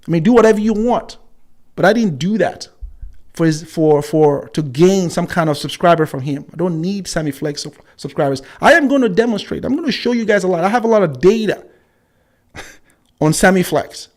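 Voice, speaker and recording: A man in his forties hosting a live stream, combative and repetitive, with frequent fillers and pauses. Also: high-pitched, animated, a little mellow. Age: 40s. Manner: combative, repeats himself for emphasis, frequent fillers. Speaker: channel host.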